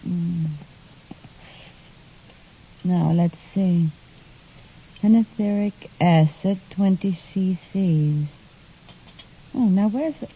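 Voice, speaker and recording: An actress playing a brisk, conscientious female lab assistant in an old-time radio drama. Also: fairly low pitch, warm tone, breathy edge, full character voice. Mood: dutiful. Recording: dull, faintly noisy old radio recording.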